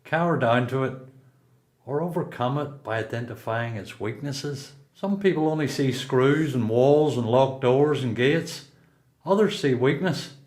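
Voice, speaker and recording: This is a seasoned prisoner in a radio play acted by a man, with a Northern Irish accent. He is plain-spoken and reflective, weighed down by regret. His mid-pitched voice sits in an echoey room. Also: animated, a little slow, darkish tone, natural voice. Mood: firm, urging. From Belfast, Northern Ireland. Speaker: amateur performer in a radio play. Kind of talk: radio play dialogue and monologue.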